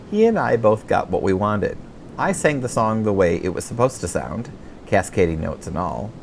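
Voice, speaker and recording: A middle-aged man with an American accent, reading his own humorous memoir as an audiobook with wry, expressive storytelling. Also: on the low side, vocal fry, slight background noise.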